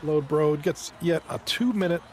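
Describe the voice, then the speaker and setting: A man with a hoarse, fading voice doing casual play-by-play commentary on a live stream, chatting with viewers between plays. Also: a little high, normal speed, a little mellow, slight background noise. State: hoarse, losing his voice. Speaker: esports league commentator.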